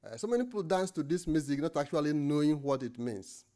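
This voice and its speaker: A middle-aged Nigerian man giving a lecture at a podium microphone in an indoor lecture room, pausing often with fillers and restarts. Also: fairly high pitch, expressive, dark tone. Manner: hesitant, many fillers and restarts. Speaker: guest lecturer.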